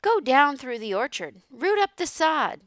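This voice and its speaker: Chattering goose hen, high and bouncy, voiced by a woman, dry close sound. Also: sing-song, fairly bright tone, no echo, full character voice. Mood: cheerful. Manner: repeats words, quick and cheery. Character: fast-talking chattering female goose.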